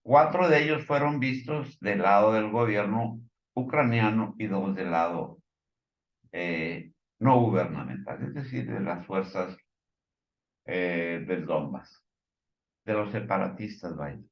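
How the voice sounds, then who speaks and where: A man with a Mexican accent giving informal news commentary, partly reading from reports, in a halting, hesitant way with fillers and stumbles. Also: lowish voice, reverberant, lo-fi sound.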